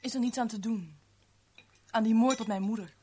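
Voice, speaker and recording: An actress with a Netherlands Dutch accent playing a troubled, quietly determined young woman in a 1970s radio play, restrained and earnest. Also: on the high side, normal speed, a little hiss or hum, close to an everyday voice. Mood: soft, intimate.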